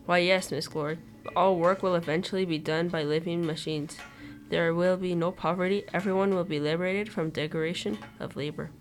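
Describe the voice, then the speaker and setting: A woman's voice: fairly low pitch, steady tone, brisk, soft background music, natural voice. Teen girl playing assertive, profit-minded factory executive. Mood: confident. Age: teenager. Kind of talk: amateur radio play acting.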